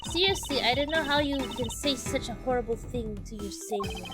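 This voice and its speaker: A woman's voice: on the high side, animated, fairly quick, over music, lightly acted. A woman voicing a cheery, boastful young female great thief from a video game. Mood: boastful, indignant. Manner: boastful, bouncy.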